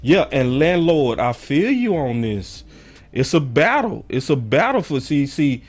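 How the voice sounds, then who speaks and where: A hoarse, raspy-voiced man hosting a sports call-in show, talking in an emphatic, slangy, animated way. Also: on the high side, unhurried, soft background music. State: hoarse, voice going in and out. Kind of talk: passionate sports commentary to callers and viewers.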